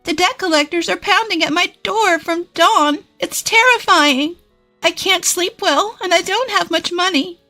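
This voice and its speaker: A woman's voice: very high voice, a little slow, sharp tone, faint music behind, voice pushed up, full character voice. A woman voicing an older, spiteful mother-in-law in a theatrical storybook manner. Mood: panicked, pleading.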